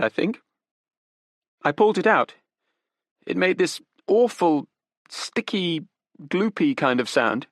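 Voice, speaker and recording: A man's voice: no echo, clean studio sound. A man voicing a distraught young Englishwoman in an audiobook, pleading and shaky. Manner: breathless, pleading, high and shaky. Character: distraught young woman, tearful and desperate. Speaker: murder suspect's daughter. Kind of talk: audiobook character voice.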